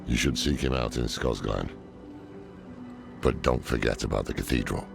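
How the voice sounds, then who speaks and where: Old fantasy game mentor, extremely deep, heavy rasping creak, gruff, world-weary, sardonic, music behind. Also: male voice, full character voice. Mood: earnest. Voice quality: deep, gravelly. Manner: world-weary, dry, deliberate. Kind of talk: video game cinematic acting.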